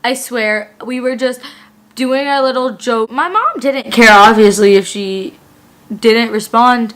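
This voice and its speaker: A woman's voice: on the high side, unhurried, a bit bright. A woman vlogger chatting casually and reading out old school emails, giggly and rambling, full of fillers.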